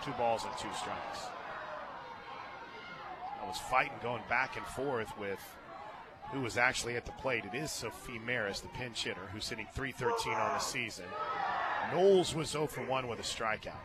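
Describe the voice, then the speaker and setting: An American man giving live radio play-by-play of a softball game, conversational, with clipped calls of each play. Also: mid-range voice, normal speed, a loud crowd. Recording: very noisy outdoor ballpark booth.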